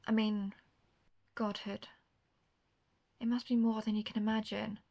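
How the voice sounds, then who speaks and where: A woman's voice: medium pitch, flat delivery, measured pace, creaky, warm tone, muffled recording. A woman playing an intense, guarded vampire hunter, herself a vampire, in a scripted roleplay audio drama, speaking earnestly and formally straight to the listener. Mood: concerned, pressing. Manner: earnest, formal phrasing, addressing the listener directly.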